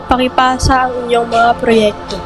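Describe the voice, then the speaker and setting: A woman's voice: on the high side, little pitch movement, normal speed, music in the background, natural voice, rough recording. A woman playing a schoolteacher addressing a class in an amateur radio drama. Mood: instructing.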